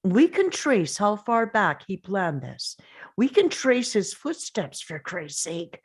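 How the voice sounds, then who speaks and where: A woman's voice: medium pitch, big pitch swings, slow pace, husky, exaggerated voice. A woman playing a grieving, angry father in a dramatic script read, confrontational and emphatic. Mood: pressing, controlled. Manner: confrontational, emphatic, pressing with questions.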